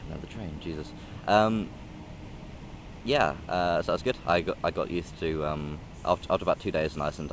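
A man's voice: a bass voice, a little hiss or hum. A young man vlogging outdoors, hesitant and rambling with many ums and restarts. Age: young adult. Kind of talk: casual rambling vlog. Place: outdoors in a park by a railway line. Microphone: phone or camera held close.

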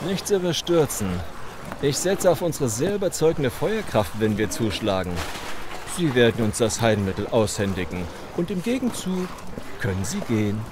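A man's voice: medium pitch, big pitch swings, normal speed, noisy background, lightly acted. A man in a German audio drama playing a ruthless, smug gang boss with mock-polite, theatrical menace. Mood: smug, commanding.

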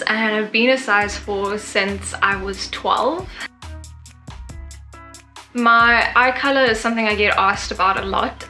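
A woman's voice: fairly high pitch, sharp tone. A nineteen-year-old woman from Zimbabwe, chatting to the camera in her bedroom, upbeat and full of "like" and "yeah" fillers. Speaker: YouTuber. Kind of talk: casual facts vlog to camera. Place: bedroom with a sofa, indoors. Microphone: camera in front at desk distance.

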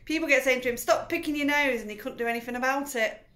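A woman's voice: high-pitched, quick pace, fairly bright tone, echoey room, pitched above the performer's own voice. Woman doing a sly little girl.

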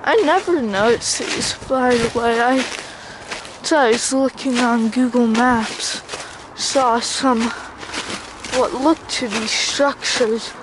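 A vlogger narrating a casual walk-through outdoors in a halting, unscripted way, picked up by a handheld camera. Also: mid-range voice, steady tone. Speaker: vlogger exploring ruins. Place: outdoors in woods by a river and bridge. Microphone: handheld camera mic, operator behind camera. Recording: noisy outdoor camera audio. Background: ambient outdoor noise, passing vehicles.

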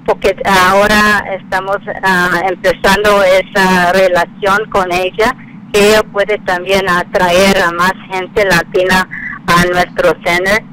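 A woman interview guest who is not a native speaker, offering sincere thanks in halting phrases with tag questions. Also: mid-range voice, fairly bright tone, a little hiss or hum, poor audio. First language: not Spanish. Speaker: spiritual center representative, interview guest.

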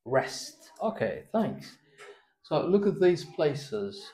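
A man's voice: on the high side, very animated, slow pace, dark tone. A young man giving a spoken English test, patiently prompting and correcting a student's answers in a small classroom. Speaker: English teacher.

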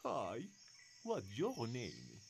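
A man voicing a friendly young male puppet in deliberate, scripted educational dialogue. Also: medium pitch, wildly swooping pitch, gravelly, background noise, full character voice. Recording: chirping insects behind dialogue.

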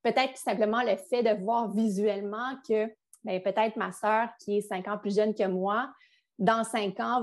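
A young woman with a Quebec French accent giving a business webinar on a video call, in a chatty style with frequent restarts. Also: mid-range voice. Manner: conversational, with frequent restarts and fillers. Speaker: business succession advisor. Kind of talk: webinar presentation.

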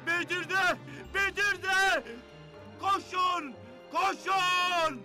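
A man voicing a panicked desert messenger in an animated cartoon, crying out urgently. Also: very high voice, very slow, faint music behind, full character voice. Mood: frantic alarm. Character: panicked male desert messenger raising the alarm. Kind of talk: animated cartoon dub acting.

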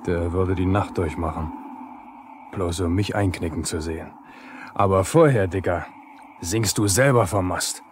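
A man's voice: deep voice, unhurried. An actor playing a resentful, defiant younger lineman who grumbles colloquially in a 1970s East German radio drama. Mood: spiteful. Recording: noisy 1970s vinyl radio play.

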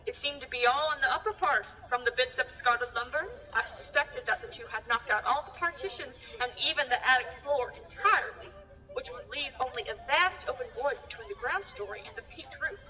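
An uneasy country gossip acted by a woman speaks in a high, forceful voice, spinning ominous folksy tales for a radio play. The sound is muffled and rings in a long hall-like reverb. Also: brisk. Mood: eager, confiding. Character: gossipy, uneasy rural New England villager, a farm neighbor. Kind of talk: radio drama acting, storytelling. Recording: dull echoing hall with music behind.

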